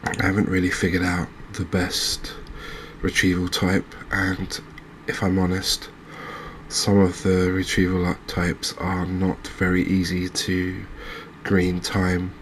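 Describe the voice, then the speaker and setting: A man giving quiet, unscripted ASMR-style game commentary, unhurried and thinking aloud with pauses. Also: deep voice, completely flat delivery, a little hiss or hum. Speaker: gaming video host.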